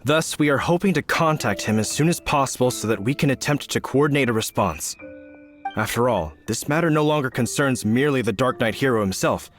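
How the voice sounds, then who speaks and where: An actor playing a courteous, earnest knight officer in a video game, speaking formally and politely. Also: male voice, medium pitch, expressive, fairly quick, faint music behind, close to an everyday voice. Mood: grave.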